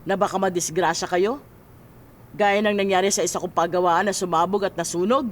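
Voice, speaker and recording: A woman's voice: lowish voice, steady tone, brisk, a little hiss or hum, close to an everyday voice. A woman playing a greedy, domineering middle-aged mother and boss in a radio drama, scolding and imperious. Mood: stern. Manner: sharp-tongued, scolding, imperious.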